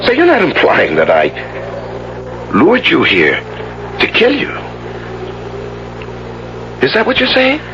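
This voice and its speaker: An actor playing an earnest, intense, coaxing husband under suspicion, in a 1970s radio drama. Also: male voice, sits high, huge pitch swings, slow, pauses often, full character voice. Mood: defensive. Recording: muffled, noisy old radio recording.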